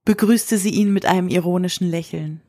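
Husky woman narrating an audio drama. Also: lowish voice, fairly quick, warm tone, dry recording, studio quality.

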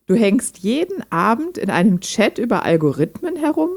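A woman's voice: a little high, big pitch swings, normal speed, a little mellow. A woman playing a lonely, reserved hobby programmer in an audio drama, speaking in a dry, direct way. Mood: probing, interested. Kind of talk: amateur audio drama dialogue, read chat.

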